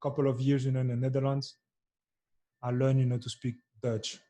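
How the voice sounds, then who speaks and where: A soft-spoken man in his thirties, from Congo and raised in Belgium, with Lingala as his first language. His voice is dark and mellow, and he pauses often on "you know" as he answers at an easy, mid-range pitch. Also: flat delivery. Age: 30s. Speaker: podcast guest, soccer player. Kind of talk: casual interview chat.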